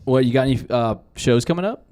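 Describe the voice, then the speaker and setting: A man's voice: medium pitch, animated, fast talker, darkish tone. A man co-hosting a podcast, joking around in casual, slangy banter.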